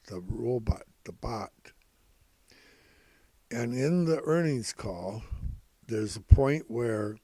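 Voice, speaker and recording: An older man giving informal, rambling financial commentary in a halting, repetitive, folksy way, calling himself Grandpa. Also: medium pitch, warm tone, husky, no room sound. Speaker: host of stock prediction videos.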